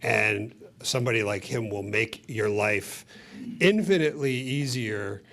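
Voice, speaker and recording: A man's voice: fairly low pitch, a little slow, gravelly, a bit bright. A man introducing the next speaker at an export seminar, talking conversationally with fillers and asides. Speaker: seminar moderator.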